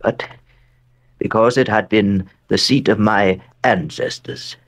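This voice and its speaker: An actor playing an old, bereaved, wealthy American man who narrates a horror radio drama in a measured, solemn way with dramatic pauses. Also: lowish voice, fairly even intonation. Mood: grave, ominous.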